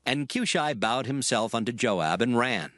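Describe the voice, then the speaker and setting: A man narrating dramatized scripture in a measured, formal reading style. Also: mid-range voice, expressive, fairly bright tone, no echo, clean studio sound.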